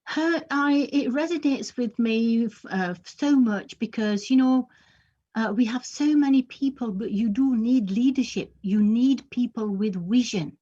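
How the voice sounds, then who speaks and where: A woman poet whose first language is Urdu speaks earnestly and haltingly. Her voice is mellow and husky. The recording sounds dull and muffled. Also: on the high side. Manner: halting, with many restarts, earnest. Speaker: poet and teacher. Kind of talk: poetry reading and stage interview.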